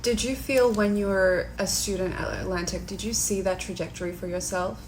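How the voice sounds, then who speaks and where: A woman's voice: mid-range voice, fairly even intonation, brisk. A woman co-hosting an interview, putting questions and comments in a casual, conversational style. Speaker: interview co-host. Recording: roomy echo, faint background noise.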